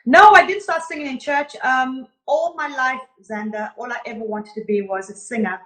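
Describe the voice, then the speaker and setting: A woman's voice: high-pitched, normal speed. Woman singer, echoey room. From Zimbabwe. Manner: warm, earnest storytelling, greets viewers between answers. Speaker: guest singer. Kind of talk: casual livestream interview.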